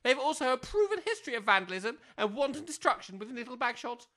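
A man's voice: very high voice, big pitch swings, fast talker, pushed and bright, full character voice. Pompous village major, acted by a man. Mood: pompous, accusing. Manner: pompous, florid, formal wording. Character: pompous, easily outraged male village major. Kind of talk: audio comedy drama acting.

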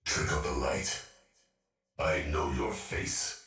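An actor playing a vengeful, paranoid cyborg assassin in a video game, menacing and unhinged, muttering through robotic processing. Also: male voice, a bass voice, steady tone, slow pace, a bit bright, full character voice. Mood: haunted, confused. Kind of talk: video game character voice lines. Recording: roomy, distant, music behind.

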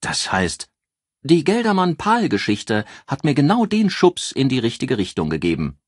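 Guy doing a brash lady journalist, husky, teasing, mock-formal, pitch jumps all over, detective audiobook. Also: wildly swooping pitch, normal speed, no room sound, studio quality. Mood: elated, teasing. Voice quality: throaty. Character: exuberant, teasing, brash female newspaper editor.

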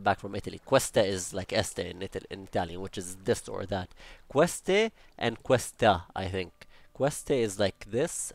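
Chatty young man with an Arabic accent. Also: fairly low pitch. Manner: chatty, rambling, jokey asides and self-talk. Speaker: Minecraft building channel host. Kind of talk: casual gameplay commentary.

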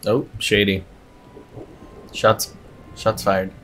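A man's voice: lowish voice, flat delivery, very slow, a bit bright, slight background noise. A middle-aged man giving casual, joking reaction commentary as a streamer, in short muttered asides over a game. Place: indoor home room. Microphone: desk streaming mic.

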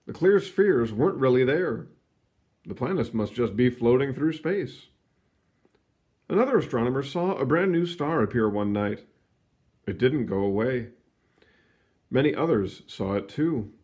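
A man reading a children's science book aloud in an expressive storytelling manner, stretching words for emphasis, with casual asides. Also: medium pitch. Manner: animated, storytelling delivery with drawn-out emphasis. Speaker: read-aloud reader.

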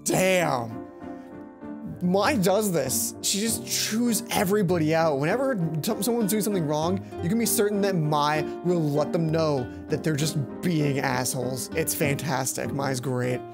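A man's voice: fairly high pitch, measured pace. Young man narrating a visual novel over music. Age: young adult. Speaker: let's play host. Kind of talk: reading visual novel narration with casual commentary. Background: game music.

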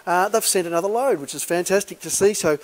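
Chatty auctioneer, upbeat patter. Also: male voice, high-pitched, fast. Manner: chatty and upbeat, many "uh" fillers, calls listeners folks. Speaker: auctioneer giving a preview walkthrough. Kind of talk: casual walk-and-talk sales tour. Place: large indoor warehouse. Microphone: handheld camera while walking.

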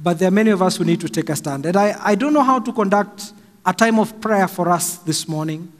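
A middle-aged Kenyan man preaching a slow, emphatic sermon from a pulpit stand mic in a large church hall. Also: high voice. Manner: slow and emphatic, raising his voice for stress. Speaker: pastor. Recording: long hall reverb, faint music.

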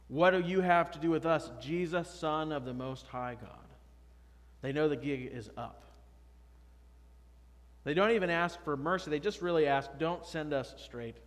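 A man in his thirties, a pastor preaching a conversational, emphatic sermon from a pulpit. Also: a little high. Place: church sanctuary, at a pulpit. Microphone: pulpit microphone, far camera. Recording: long church reverb.

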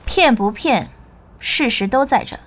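A woman's voice: fairly high pitch, lively intonation, moderate pace, fairly bright tone. A woman playing a skeptical, shrewd shareholder in an audio drama, pointed and businesslike. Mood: doubtful. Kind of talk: Chinese audio drama acting.

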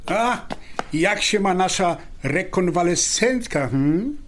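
Jovial man, animated intonation. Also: sits high, takes it slowly, a little hiss or hum. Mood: jovial, teasing. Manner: bantering, colloquial. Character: jovial, teasing male friend visiting with dinner. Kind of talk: naturalistic radio drama acting.

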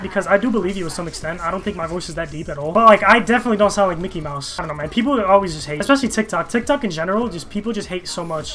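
A young man talks fast and loose over his game, his voice bright and a bit high for a guy. He rambles, jokes and swears freely into a gaming headset at home. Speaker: gaming streamer. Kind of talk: casual gameplay commentary. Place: bedroom gaming setup.

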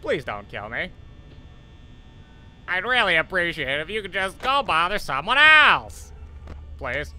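Guy doing an anxious polite cartoon teacher playing a horror game, really sharp bright voice, swoops up and down. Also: sits high, big pitch swings, unhurried, long pauses, faint music behind, full character voice. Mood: nervous, polite. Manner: nervous, exclamatory, pleading politely. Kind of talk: let's play commentary in character.

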